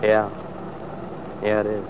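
An actor playing a troubled, guarded but tender young sergeant in an old-time radio drama, halting and earnest. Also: male voice, on the low side, monotone. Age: young adult. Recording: muffled, noisy vintage radio recording.

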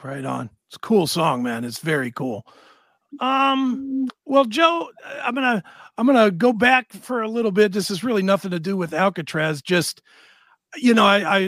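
High-pitched man hosting, dry sound. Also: moderate pace, a bit bright, no room sound. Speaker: interview host. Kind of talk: casual interview chat.

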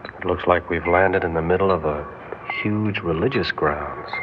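A man's voice: low voice, normal speed, lightly acted. An actor playing a curious, uneasy spaceship crewman who questions insistently yet defers to superiors, in an old science-fiction radio drama. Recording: tinny, noisy vintage radio recording.

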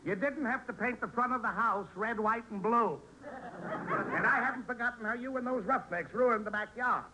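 An actor playing a smug, vindictive American landlord in an old-time radio sitcom, officious and precise. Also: male voice, very high-pitched, moderate pace. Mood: gloating, resentful. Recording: noisy vintage radio recording.

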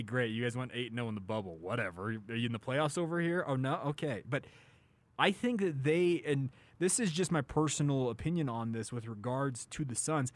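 A man with a mid-pitched voice hosts a casual sports talk show. He speaks in an emphatic, conversational way, slowing down to lean on the phrases that matter. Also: no room sound.

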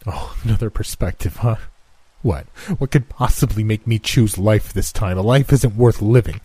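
A man's voice: lowish voice, normal speed, breathy edge, no room sound. A man voicing a grief-stricken, enraged husband who cries out in anguish. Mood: bitter. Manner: anguished, shouting, raw.

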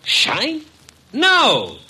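An actor playing a cold, domineering advertising executive in old-time radio drama, with a curt, imperious delivery. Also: male voice, very high-pitched, huge pitch swings, very slow, noisy background, heavily acted. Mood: irritated, snapping.

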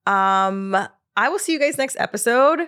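A woman's voice: a little high, expressive, moderate pace, sharp tone, smooth. A woman, hosting her podcast in a casual solo chat, laughing often and trailing off with fillers. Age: 30s. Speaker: podcast host.

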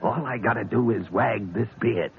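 An actor playing a brash red-bearded sailor who cracks jokes and laughs often, in an old radio drama. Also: male voice, lowish voice, moderate pace, vocal fry. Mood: joking. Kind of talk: 1940s radio acting. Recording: tinny old radio broadcast.

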